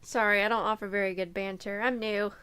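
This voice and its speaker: A woman chatting casually as a podcast co-host, with brief, dry, low-key replies. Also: steady tone, brisk, pushed and bright, clean tone. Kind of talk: casual chat.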